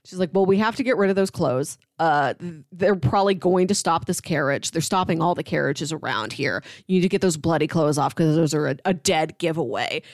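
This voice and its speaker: A woman's voice: low-pitched, fairly quick, few pauses, darkish tone, no echo, studio quality. A woman co-hosting a podcast, talking casually about films and comics. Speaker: podcast co-host. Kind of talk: casual film and comic discussion.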